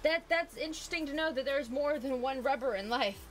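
A woman in her twenties, live-streaming from a gaming room with a desk mic, bubbly and excitable, laughing often. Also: high voice, fairly quick, a little hiss or hum. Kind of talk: casual live-stream chat. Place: gaming room lit with colored lights. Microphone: desk mic with headset. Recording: music under the voice. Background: background music.

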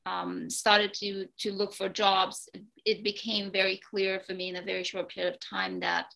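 A woman in her forties with a Romanian accent speaks on a video call, starting and restarting her phrases. Her tone is bright with a gravelly edge, and her pitch barely moves. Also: level tone, normal speed, low-quality recording. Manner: hesitant, many ums and restarts. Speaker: guest, real estate broker. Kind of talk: casual interview talk. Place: home room, indoors.

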